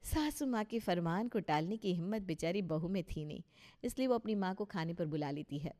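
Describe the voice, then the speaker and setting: A woman's voice: fairly low pitch, expressive, a little fast, dark tone. Woman narrating a scripted story. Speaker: narrator and voice of characters.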